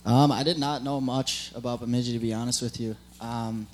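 A man's voice: medium pitch, fairly even intonation, fairly quick, darkish tone. A man in his twenties from Minnesota, a college athlete answering casually in a live interview. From Minnesota, United States. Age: 20s. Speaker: college hockey player. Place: on stage at a live event. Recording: live event, humming room noise.

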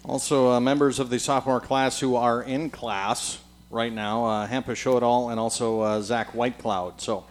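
A man's voice: mid-range voice, steady tone, normal speed, hardly any pauses. A man hosting and interviewing on stage at a live event. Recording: live event, noisy room.

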